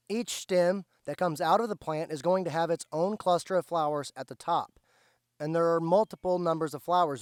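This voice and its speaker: A slightly hoarse man, patiently explaining step by step as he teaches plant identification outdoors. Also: a little high, dry recording, studio quality. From central Indiana, USA. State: slightly hoarse, recovering from lost voice. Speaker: host, foraging teacher. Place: outdoors by a driveway.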